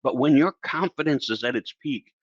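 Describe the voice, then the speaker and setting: A man teaching public speaking in a casual solo video, talking in a chatty, animated way and acting out the voices of the people he quotes. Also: medium pitch, moderate pace, husky, dry recording, highs cut off. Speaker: speaking coach.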